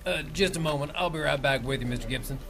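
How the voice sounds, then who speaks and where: An actor playing a brisk, businesslike male army major. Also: on the high side, over music, close to an everyday voice. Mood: polite. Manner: curt, businesslike. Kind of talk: audio drama acting.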